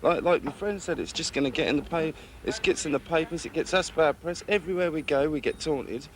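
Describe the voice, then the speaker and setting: A man's voice: a little high, brisk, slight background noise. A man giving spontaneous, fed-up, repetitive interview answers. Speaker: member of the public interviewed.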